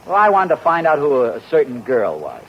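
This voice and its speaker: An actor playing a vain, fussy husband in a 1940s radio sitcom, who is indignant and wheedling. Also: male voice, a little high, a little fast. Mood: aggrieved. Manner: indignant, wheedling, self-flattering. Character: vain, fussy, easily flustered middle-aged husband. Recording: dull, faintly hissy old radio broadcast.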